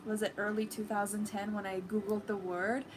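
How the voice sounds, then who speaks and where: A woman's voice: mid-range voice, flat delivery, normal speed, music in the background, rough recording. A young woman whose first language is Farsi, hosting a livestream and chatting casually with her viewers, with many fillers. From Iran. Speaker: livestream host. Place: living room with a red sofa, indoors.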